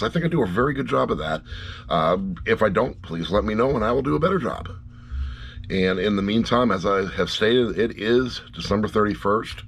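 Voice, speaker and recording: Middle-aged man, lowish voice, casual rambling reviewer, blunt and wry, repeats himself, faint background noise. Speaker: audiobook reviewer.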